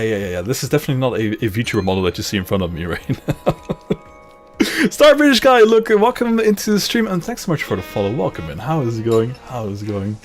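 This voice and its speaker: A young man with a Dutch-Flemish accent streaming casually from his home gaming room, laughing often and repeating himself as he reads chat aloud. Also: mid-range voice. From grew up in Luxembourg, Dutch parents, lives in Belgium. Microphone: studio mic on boom arm, headphones.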